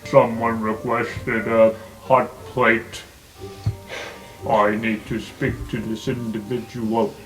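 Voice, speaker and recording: A man acts out an ogre who cooks for a palace in a tabletop roleplay game. He is gruff and suspicious, talking in short, blunt demands over faint music. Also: on the low side, level tone, slow pace, voice pushed down, low-quality recording. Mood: gruff, demanding. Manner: blunt, curt, terse sentences.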